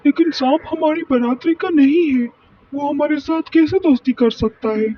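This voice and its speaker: A woman playing a concerned, earnest bird parent in an animated children's story, speaking in formal phrases. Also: high voice, normal speed, dry recording, distant mic, lightly acted. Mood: doubtful. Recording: dull, lo-fi sound.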